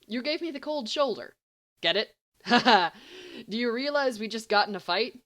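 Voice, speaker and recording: A woman's voice: on the high side, animated, moderate pace, a bit bright, pitched above the performer's own voice. A woman voicing a brash, joking teenage boy in audiobook dialogue, rambling and emphatic. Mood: joking, excited.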